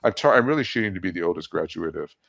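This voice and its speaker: Older man, 70s, low and gravelly, easygoing storyteller who jokes about himself. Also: animated, very fast, fairly bright tone. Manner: chatty, self-deprecating, laughs at his own jokes. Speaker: guest. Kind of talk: casual storytelling interview.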